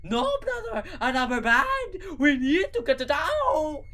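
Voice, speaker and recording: A woman voicing a shrill, panicky male cartoon villain who screams his lines. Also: wildly swooping pitch, moderate pace, vocal fry. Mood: panicked. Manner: screeching, frantic.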